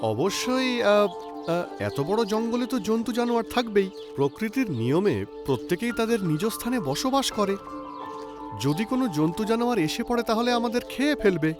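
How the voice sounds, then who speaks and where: A man plays a bold young man in a children's cartoon tale. He speaks in a fairly high, very animated voice, stressing his points like a lecture. Music plays clearly behind him. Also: moderate pace. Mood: confident, lecturing. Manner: preachy, emphatic delivery. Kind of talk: animated children's story acting.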